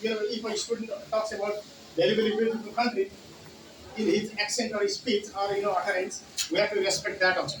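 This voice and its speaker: A man with a Nepali accent giving a conference talk on English teaching, with many "you know" fillers and repeated key words. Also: sits high. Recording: roomy hall from far off, noisy.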